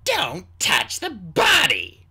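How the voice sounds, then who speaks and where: A woman voicing a guarded dragon queen with a sharp, commanding manner in a story reading. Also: high voice, wildly swooping pitch, extremely slow, gravelly, pitched above the performer's own voice, exaggerated voice. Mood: stern.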